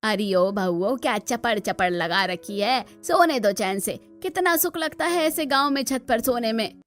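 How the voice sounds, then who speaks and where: A woman's voice: on the high side, animated, measured pace, faint music behind. A woman voicing an earthy, teasing old village mother-in-law in a cartoon, with rustic, scolding speech.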